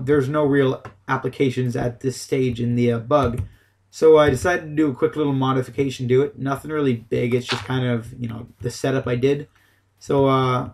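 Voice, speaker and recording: A man's voice: medium pitch, gravelly, background noise. A man giving a casual, unscripted game tutorial, explaining things in a loose, hesitant way. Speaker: gaming tutorial host.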